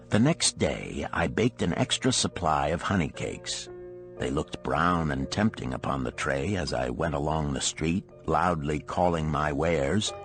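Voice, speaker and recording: A man reading a story aloud as scripted audiobook narration. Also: rumbling low.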